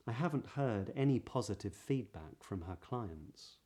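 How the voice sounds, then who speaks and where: A man with a southern British English accent giving a pronunciation lesson as a voice coach, explaining clearly and modelling sounds. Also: mid-range voice, expressive, a little slow, dark tone. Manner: clear, demonstrative, breathy example sounds.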